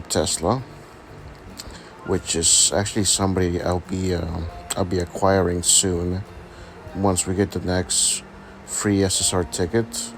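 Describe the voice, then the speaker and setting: A man with a raspy voice from being sick, hesitantly walking through his game account in a casual screen-recording chat. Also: deep voice, a little hiss or hum. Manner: hesitant, many ums and restarts. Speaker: gaming channel host.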